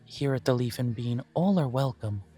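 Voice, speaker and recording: A man voicing a courteous elven coffee shop owner who speaks in a polite, formal, gently self-deprecating way, with soft music playing behind him. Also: mid-range voice, animated, moderate pace, husky. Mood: gracious. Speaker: shop owner interviewing a job applicant. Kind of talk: scripted one-sided roleplay audio.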